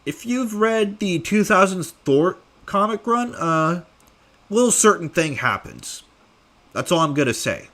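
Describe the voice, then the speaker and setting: Young male creator, slow offhand Q&A delivery, voice swooping up and down, fairly high for a man, lots of "uh"s. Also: very animated, a bit bright. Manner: rambling with many fillers, offhand. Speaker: creator answering fan questions. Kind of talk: casual live Q&A.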